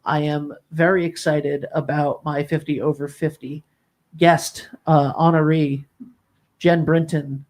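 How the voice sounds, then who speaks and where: A man's voice: on the high side, level tone, unhurried, a little mellow. A man hosting an interview series, bantering and joking with his guest, with frequent ums and uhs. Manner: jokey, friendly banter, many fillers. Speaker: interview show host.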